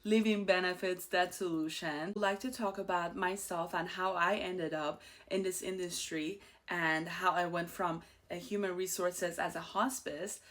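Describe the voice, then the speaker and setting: An outgoing woman in her thirties with an Iranian accent hosts her own show in a loose, chatty monologue. Her voice sits a little on the low side for a woman, and she talks with a bubbly warmth, laughing easily between thoughts. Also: fairly even intonation, normal speed, hardly any pauses. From Iran. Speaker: host. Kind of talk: casual personal monologue.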